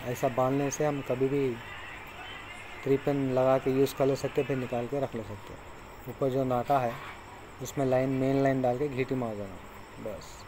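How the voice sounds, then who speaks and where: A man's voice: mid-range voice, level tone, normal speed. A man casually explaining step by step how to tie fishing hooks while he works. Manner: plain instructive, talks while tying knots. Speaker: fishing tutorial maker. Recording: clear background insect noise.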